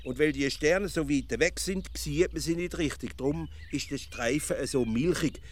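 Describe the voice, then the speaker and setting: An actor with a Swiss German accent playing a stubborn, earnest provincial amateur philosopher in a comic radio play, explaining haltingly and repeating his words. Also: a little high, slight background noise, natural voice. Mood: wondering, enthusiastic. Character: naive know-it-all provincial male philosopher, stubborn, earnest.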